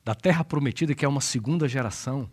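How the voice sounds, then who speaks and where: A man with a Brazilian Portuguese accent, a pastor co-hosting a Bible study, retelling scripture with animated storytelling and frequent fillers. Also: mid-range voice, fast talker. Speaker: pastor and co-host.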